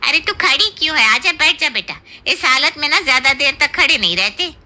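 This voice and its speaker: A woman's voice: sits high, animated, fairly quick, sharp tone, heavily acted. A woman voicing an affectionate elderly Indian aunt in a Hindi cartoon family story, bossy, fussing and tender. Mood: bossy, caring.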